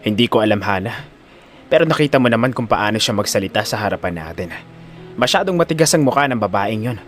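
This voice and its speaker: Man acting a wary, low-voiced Filipino husband. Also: animated, quick pace, slight background noise, lightly acted. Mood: suspicious. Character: wary, distrustful middle-aged husband and in-law. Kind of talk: Filipino audio drama acting.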